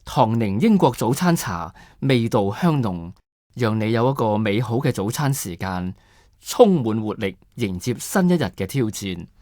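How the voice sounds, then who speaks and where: A man's voice: fairly low pitch, animated, unhurried, darkish tone, no echo, clean studio sound. A man with a Hong Kong Cantonese accent reading scripted commercial narration as a voice-over artist.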